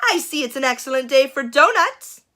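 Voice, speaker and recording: Lady doing nosy friendly townsfolk in a kids story, cheery knowing hellos, bright n swoopy. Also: sits high, very animated, normal speed, pitched above the performer's own voice, heavily acted. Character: friendly, nosy townspeople greeting a child sheriff.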